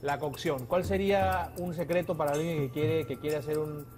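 A man's voice: fairly high pitch, fairly even intonation, moderate pace, music in the background. A man who hosts a food show, asking a chef questions and summing up the answers. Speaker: host.

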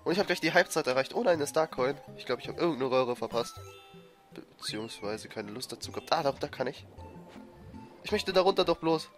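A man with a cold giving excitable, frustrated commentary over a platform game he is playing. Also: a little high, fairly quick. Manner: frustrated, excitable, swears and laughs. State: has a cold, coughing. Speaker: let's player. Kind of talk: gameplay commentary. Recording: faint game music behind. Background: game music.

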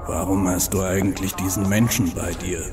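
A man's voice: sits low, fairly even intonation, normal speed, a crowd in the background. An actor playing a big, loyal male troll guard in a fantasy audio drama, with a weighty delivery. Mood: calm, steady. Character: big deep-voiced male troll guard, loyal husband, plain-spoken.

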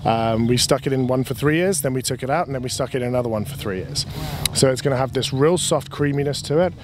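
A young man at an outdoor table at a winery, explaining wine in a relaxed, chatty way with many restarts, into a handheld mic. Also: mid-range voice, fast talker, slight background noise. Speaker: winery representative. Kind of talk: casual explanatory talk. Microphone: handheld mic held by the interviewer.

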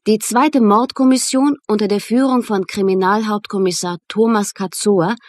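An actress playing a brisk newspaper journalist in a German radio crime drama, dictating in a matter-of-fact, clearly articulated way. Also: female voice, medium pitch, fairly even intonation, a little mellow, natural voice. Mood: brisk, businesslike.

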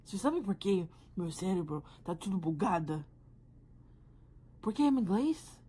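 Woman, young adult, American accent, native English speaker, vlog, exasperated, animated, slow deliberate delivery. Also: mid-range voice, husky. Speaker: youtuber. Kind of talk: vlog to camera. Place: small room at home. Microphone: phone camera at arm's length.

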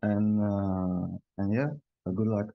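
Low-voiced Tunisian man, native Arabic speaker. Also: flat delivery, slow pace, gravelly, no echo, lo-fi sound, soft background music. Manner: friendly and rambling, with many fillers. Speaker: audience member asking a question. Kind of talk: casual voice-chat question.

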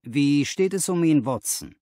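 Man reading as a sharp, animated Victorian detective. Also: a little high, measured pace, no echo, clean studio sound, voice pushed up. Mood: intent, urgent.

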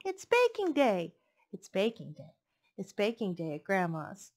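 A woman's voice: medium pitch, huge pitch swings, a little slow, a little mellow. A woman in her thirties reading picture books aloud to children in a playful, sing-song rhythm on a headset mic. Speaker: librarian reading storytime. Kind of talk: expressive picture-book read-aloud for children. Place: living room, indoors.

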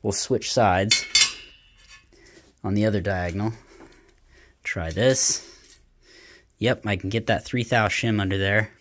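Man, lowish voice, relaxed, thinking aloud, home workshop, body-worn camera. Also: takes it slowly, pauses often, slight background noise. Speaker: hobbyist welder demonstrating a test. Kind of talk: casual hands-on workshop demonstration. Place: small home workshop garage. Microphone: camera worn on the body, point-of-view framing.

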